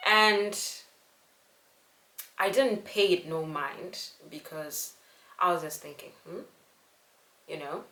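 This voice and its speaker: Young woman from zimbabwe, earnest vlog storytelling, lowish voice, kinda echoey room. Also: slow pace, pauses often, bright, forceful tone. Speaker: vlogger. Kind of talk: casual storytelling to camera.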